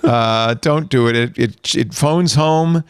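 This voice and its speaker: A man's voice: medium pitch, takes it slowly, smooth, no echo, clean studio sound. A man hosting a tech podcast, reading out news items with wry asides. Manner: wry, reads out articles with asides. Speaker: podcast host. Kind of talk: casual chat.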